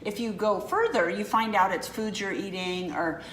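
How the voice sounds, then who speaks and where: A woman's voice: mid-range voice, moderate pace, a bit bright, a little hiss or hum. Rambling woman in her fifties, echoey room. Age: 50s. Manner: rambling, self-correcting storyteller. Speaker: co-host. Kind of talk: casual chat.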